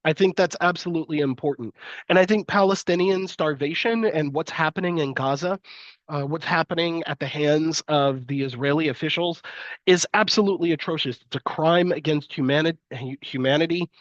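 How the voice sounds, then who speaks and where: A man's voice: fairly high pitch, fairly quick, no room sound, highs cut off. Sniffly congested man giving a lecture. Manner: hesitant, many fillers and restarts. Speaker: university lecturer. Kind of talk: live-streamed lecture.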